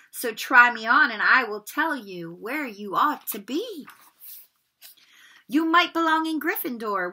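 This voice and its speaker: A woman's voice: sits high, pushed and bright, voice pushed up, heavily acted. A woman voicing an old magical talking hat that boasts in a sing-song rhyme. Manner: sing-song rhyming recital.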